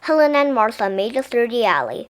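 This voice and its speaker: A woman reading a children's picture book aloud as an expressive narrator. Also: fairly high pitch, fairly quick. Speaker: storybook reader.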